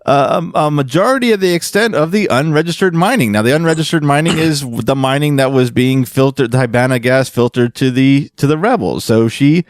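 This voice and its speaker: A man's voice: medium pitch, fairly quick, hardly any pauses, no room sound, studio quality. A man hosting a fan podcast, telling a story casually in a rambling way that often corrects itself. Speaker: podcast host.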